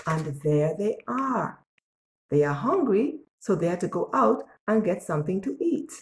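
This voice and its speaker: Older woman, children's read-aloud, animated intonation, deliberate delivery, echoey room. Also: medium pitch, slight background noise. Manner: expressive, deliberate, asks the listener questions. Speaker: children's storyteller. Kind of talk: picture-book read-aloud to young children. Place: small bare-walled room indoors. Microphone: phone or tablet at arm's length. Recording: echoey room, device at arm's length.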